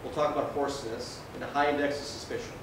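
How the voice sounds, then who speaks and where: A man's voice: steady tone, quick pace. Man lecturing in a very echoey room. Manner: conversational, often checking in with "right?" and "okay?". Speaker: emergency physician lecturing. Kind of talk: informal medical lecture with anecdotes. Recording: very echoey room, distant mic, noisy rumble.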